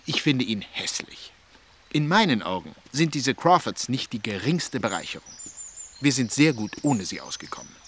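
An actor playing a petulant, self-important young suitor who grumbles jealously, in a German radio play. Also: male voice, mid-range voice, big pitch swings, normal speed, slight background noise, lightly acted. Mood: scornful.

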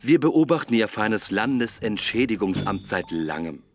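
An actor playing a sceptical investigator, sarcastic and accusatory, in a German radio play. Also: male voice, lowish voice, big pitch swings, moderate pace, a little hiss or hum. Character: sceptical, needling male investigator accusing officials.

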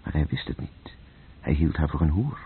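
An actor playing a solemn, brooding narrator who speaks in poetic, incantatory phrases in a radio play. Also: male voice, very deep voice, expressive, normal speed, slightly rough, heavily acted. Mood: incantatory, ominous. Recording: noisy, dull old radio play recording.

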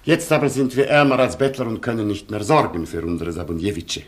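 An actor playing a proud, gallant Polish aristocrat colonel in a German radio play, with a Polish accent and an imperious, grandiloquent way of talking. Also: male voice, fairly low pitch, brisk, close to an everyday voice. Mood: lofty, commanding. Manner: grandiloquent, imperious, broken formal syntax.

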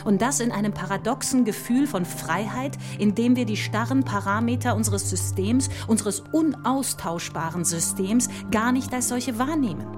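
An actress playing a dreamy, philosophical Iranian woman mathematician, speaking in a measured, lyrical way in a radio drama. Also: on the high side, lightly acted. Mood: musing, longing. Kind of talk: poetic radio drama monologue. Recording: prominent music behind the voice.

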